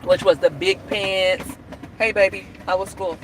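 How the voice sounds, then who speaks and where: A woman's voice: medium pitch, steady tone, a little slow, a little hiss or hum, rough recording. A woman in her forties chatting casually on a livestream, laughing often and talking to viewers by name. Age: 40s. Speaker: livestream host. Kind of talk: casual live chat.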